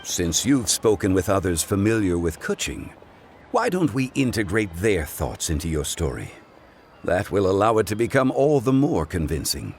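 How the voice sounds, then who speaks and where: An actor playing a calm, wise gentleman scholar in a video game, speaking formally and eloquently, with patient, measured thoughtfulness. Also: deep voice, slight background noise. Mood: thoughtful. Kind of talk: video game character acting.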